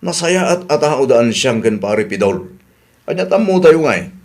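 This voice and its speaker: A man's voice: medium pitch, very animated, normal speed, a little mellow. A man playing a grumbling, reluctant village husband in a comedy radio drama.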